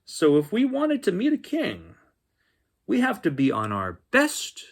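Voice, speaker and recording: A man's voice: high-pitched, wildly swooping pitch, a little slow, vocal fry, breathy edge, pitched above the performer's own voice, exaggerated voice. A man voicing an earnest, helpful young boy from a storybook, explaining things carefully. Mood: earnest, excited.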